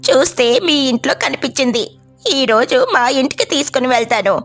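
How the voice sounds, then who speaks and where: A woman's voice: high voice, a little fast, a bit bright, faint music behind. A woman voicing a helpful, frank, motherly eagle in a cartoon story, with an advising, conversational manner.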